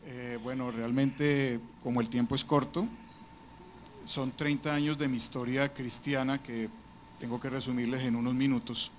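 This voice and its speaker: A man's voice: mid-range voice, level tone, moderate pace, slight background noise. A man from Colombia, giving an earnest, reflective personal testimony to a church congregation.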